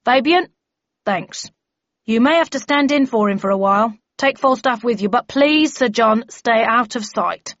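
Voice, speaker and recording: A woman voicing a stern, brisk bellman who chairs a meeting in an officious, declaiming manner, in an audiobook story. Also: a little high, no echo, lo-fi sound, voice pushed up, lightly acted.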